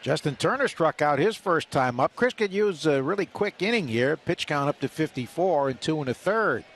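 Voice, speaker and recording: A man's voice: fairly high pitch, animated, normal speed, a bit bright. An older man giving live radio play-by-play of a baseball game as the announcer. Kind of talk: live sports commentary. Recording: faint stadium ambience noise behind. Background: ballpark ambience.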